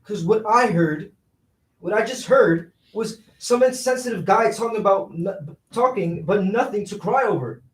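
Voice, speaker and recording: A man's voice: high-pitched, expressive, normal speed. Man acting exasperated street-wise guy, echoey. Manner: slangy, incredulous. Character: exasperated young working man, street-wise, blaming brother. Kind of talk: staged reading of a play. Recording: roomy echo, distant microphone.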